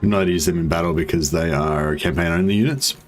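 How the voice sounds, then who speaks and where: A man with a deep voice giving casual, rambling commentary while playing a strategy game. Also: very fast. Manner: rambling, relaxed, many fillers. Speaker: gaming streamer. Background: faint game music.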